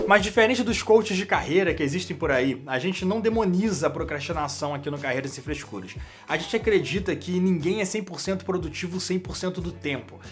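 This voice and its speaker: A man with a Brazilian Portuguese accent, hosting a career channel and explaining to camera, speaking straight to the viewer. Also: high-pitched. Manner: direct, addresses the viewer as "you".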